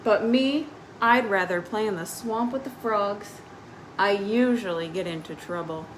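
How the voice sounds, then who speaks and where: A woman's voice: medium pitch, unhurried, close to an everyday voice, poor audio. Woman reading as a spirited tomboy fairy, faint background noise. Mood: defiant. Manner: expressive storybook first-person telling. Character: spirited tomboy fairy, hundred years old, grumbling about dainty rules.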